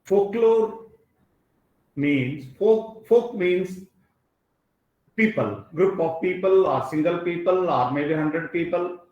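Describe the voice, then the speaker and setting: A man with an Indian English accent, whose first language is Kannada, giving a formal academic address as a guest speaker. He speaks haltingly and fills his pauses with "uh". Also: high voice, a little mellow, muffled recording. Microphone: online video call. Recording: roomy echo.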